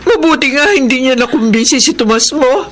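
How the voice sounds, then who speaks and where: A woman's voice: high voice, expressive, a little fast, a little hiss or hum. A woman playing a meddling, emotional neighbour, a wife and mother, in a melodramatic radio drama style. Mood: distraught, remorseful.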